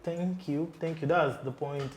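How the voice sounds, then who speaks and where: A male interviewer with a fairly high-pitched voice poses questions in an easy conversational way. He keeps affirming his guest with short hums and agreements. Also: fairly even intonation, a little slow. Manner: affirming, with frequent backchannel hums and laughs.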